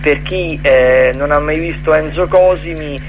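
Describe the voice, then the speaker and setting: A man's voice: fairly high pitch, measured pace, dull audio, soft background music. A man co-hosting a radio show, giving casual event listings in a rambling, hesitant way. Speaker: radio co-host.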